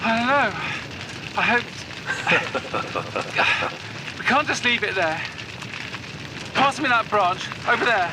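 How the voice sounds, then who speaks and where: A woman acting a shaken but determined, compassionate survivor in a horror audio drama, halting and stammering through her words. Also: fairly high pitch. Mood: tense, determined. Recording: noisy outdoor scene effects.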